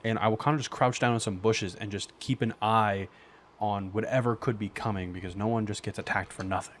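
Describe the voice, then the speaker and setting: A man's voice: lowish voice, fairly even intonation, a little fast, a little hiss or hum. A man talking casually as a player in a tabletop game, describing his character's moves. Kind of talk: casual improvised game chat.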